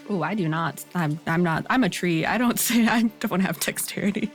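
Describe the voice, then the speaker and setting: A woman's voice: on the low side, normal speed, soft background music. A woman guest player chatting casually and jokingly as she plays a monster in a tabletop game.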